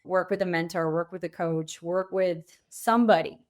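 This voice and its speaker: A woman's voice: fairly low pitch, steady tone, moderate pace. A middle-aged woman answering as a podcast guest in a conversational, emphatic way, using vivid examples to make her points. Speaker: podcast guest, pivot coach.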